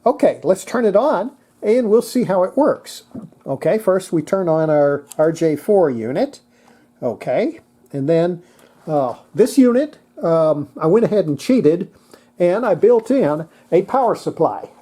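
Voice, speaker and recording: Mellow-voiced presenter giving a halting technical demonstration. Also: medium pitch, big pitch swings. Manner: halting, thinking aloud. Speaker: presenter demonstrating antique radios. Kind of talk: casual hands-on technical demonstration.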